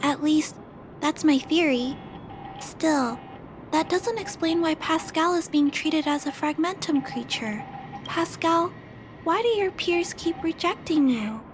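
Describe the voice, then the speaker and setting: A woman voicing a gentle, curious young girl in a video game, polite and musing thoughtfully aloud. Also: high voice, slow pace, noisy background. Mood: thoughtful, explaining, then gentle, concerned. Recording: game music behind the voice.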